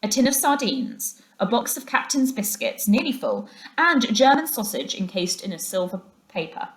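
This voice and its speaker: A woman's voice: on the high side, big pitch swings, normal speed, roomy sound, low-quality recording. A woman narrating a children's story aloud as a teacher reading to a class.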